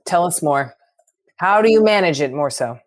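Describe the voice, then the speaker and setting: Low-voiced woman interview host. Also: expressive, normal speed. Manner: affirming, encouraging, echoes answers. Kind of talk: conversational interview.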